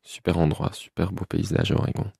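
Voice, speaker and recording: Young Belgian musician guest, low gravelly voice, laid-back and laughing, dry close sound. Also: male voice, brisk, no room sound. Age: 20s. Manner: relaxed, laughs often, many fillers. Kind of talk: casual interview chat.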